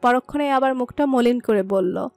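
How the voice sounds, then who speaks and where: Female narrator, high-pitched, scripted romantic story, dry close sound, music bed. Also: normal speed, no room sound. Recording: dry voice over soft music.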